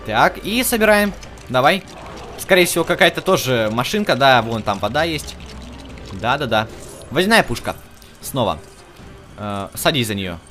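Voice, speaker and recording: High-pitched man chatting over a video game. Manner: chatty, thinks aloud, talks to the game characters. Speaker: video game let's-play host. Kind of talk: casual gameplay commentary. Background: video game music.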